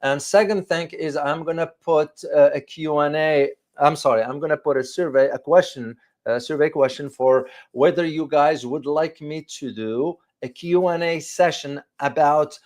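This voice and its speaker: A man in his fifties hosting informal geopolitical commentary for viewers, speaking haltingly and repeating phrases for emphasis. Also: on the high side, darkish tone. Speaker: host of a geopolitics show. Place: small furnished home room, indoors.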